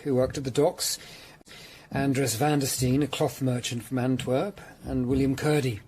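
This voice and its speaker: An actor playing a courteous, measured Tudor lawyer-detective, calmly questioning witnesses in a period radio drama. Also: male voice, mid-range voice, slight background noise, natural voice. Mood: businesslike, inquiring. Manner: calm, polite, probing. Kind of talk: period audio drama acting.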